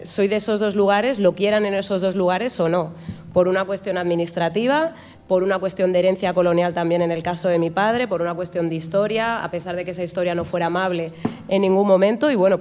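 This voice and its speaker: A woman, talking as a guest on a live podcast. Her mid-range voice rings out with a long hall reverb. She speaks with lively emphasis and slips into acted-out dialogue. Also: mid-range voice, a little hiss or hum. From Spain. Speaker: guest journalist. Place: event venue with live audience.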